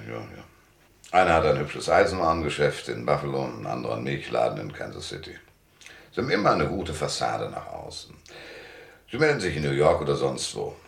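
An actor playing a brusque, wry private detective in a German radio drama, with a sardonic, curt delivery. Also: male voice, rumbling low, vocal fry, echoey room, full character voice. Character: Marlow, brusque, wry, hard-boiled male private detective.

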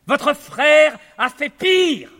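Guy playing an indignant philosopher, icy and thundering, high sharp voice, echoey hall. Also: lively intonation, drawn-out words, full character voice. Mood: cold, forceful. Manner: icy then thunderous, emphatic. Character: cold, indignant eloquent philosopher confronting a clergyman. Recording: long reverberant hall echo.

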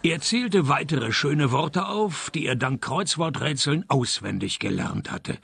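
A man playing a wry, deadpan private detective in a radio play, dry and laconic in narration and dialogue. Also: on the high side, unhurried, vocal fry, husky, full character voice. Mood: dry narrating. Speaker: narrator and lead actor.

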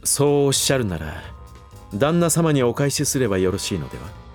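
An actor playing a loyal manservant in a Japanese voice drama, coldly polite and distrustful, with formal phrasing and a barbed edge. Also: male voice, mid-range voice, very animated, fairly quick, soft background music, natural voice. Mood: resentful.

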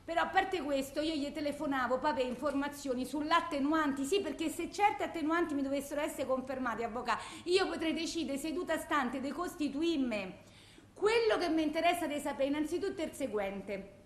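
An actress playing a bitter, distraught blind widow in a theatrical monologue, rambling plaintively in a colloquial Roman accent. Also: female voice, high voice, a little fast, close to an everyday voice. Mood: insistent, formal. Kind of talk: theatrical phone-message monologue. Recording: echoey room.

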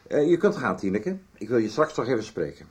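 An actor playing a stern, controlling male boss in a radio soap, questioning people in a measured, reproachful, authoritative way. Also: medium pitch, very animated, darkish tone, natural voice. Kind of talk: 1990s Dutch radio soap acting.

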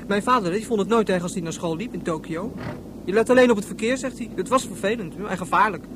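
A woman's voice: mid-range voice, quick pace, noisy background, close to an everyday voice. A woman voicing a blunt, matter-of-fact young boy chattering in a science-fiction radio play.

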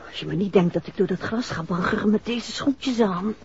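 A woman's voice: medium pitch, brisk, a little mellow, lightly acted. A woman with a Netherlands Dutch accent playing a witty, slightly wary detective's wife in a 1960s radio drama. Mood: mildly reluctant. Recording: muffled, noisy 1960s radio recording.

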